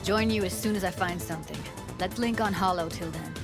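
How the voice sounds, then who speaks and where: A woman's voice: on the low side, a little fast, natural voice. A woman playing a hotheaded, caring young tech specialist in a video game, with blunt, clipped, slangy talk. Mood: determined. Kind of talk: naturalistic video game acting. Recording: game music under the voice. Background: loud game music.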